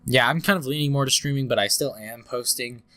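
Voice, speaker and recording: A man's voice: mid-range voice, fast, pushed and bright. Congested young man hosting a chat. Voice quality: slightly scratchy, nasal. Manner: rambling, many fillers and restarts. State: congested from a cold. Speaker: podcast host. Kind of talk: casual podcast chat.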